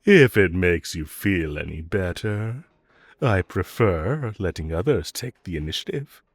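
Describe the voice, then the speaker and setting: A man acts out a flirtatious male villain in a romantic audio roleplay, very low and husky, recorded close and dry. He teases and provokes his hero rival, taking his time with slow, drawn-out lines that swoop playfully. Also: expressive, no room sound, heavily acted. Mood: smug, flirtatious. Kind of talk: romantic audio roleplay acting addressed to listener.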